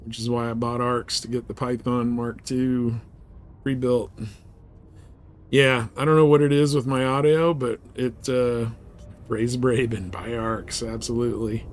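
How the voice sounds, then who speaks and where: A man's voice: mid-range voice, little pitch movement, unhurried. A man hosting a gaming livestream, chatting casually as he comments on his play. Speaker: livestream host.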